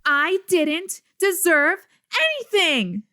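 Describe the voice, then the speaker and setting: A woman voicing a quirky, excitable teenage girl in an amateur webcomic dub, dramatic and teasing. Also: very high-pitched, slow pace, full character voice. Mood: upset, indignant.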